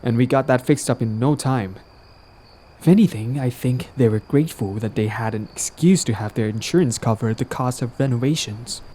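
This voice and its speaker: A man's voice: mid-range voice, moderate pace, mellow, slight background noise, natural voice. A man playing a smug, possessive young villain in a romantic audio drama, talking in a casual, teasing, cajoling way. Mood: defensive, exasperated. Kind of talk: roleplay audio drama, conversational acting.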